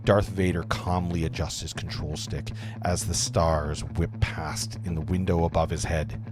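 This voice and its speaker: A man's voice: sits low, steady tone, a little slow, music in the background. A man narrating a script's stage directions at an informal table read, stumbling over words now and then. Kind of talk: reading screenplay stage directions aloud.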